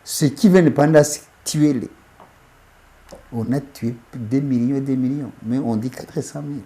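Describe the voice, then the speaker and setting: A man speaks emphatically, restarting and repeating phrases as he builds a point. His voice is mellow and dark, at a middle pitch. He sounds like a guest in an interview. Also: normal speed. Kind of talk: interview, political commentary.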